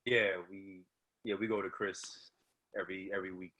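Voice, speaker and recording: A man with a deep, low voice answers casually. He goes haltingly from phrase to phrase, in an informal conversational style. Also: level tone, bright, forceful tone, muffled recording. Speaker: acting student.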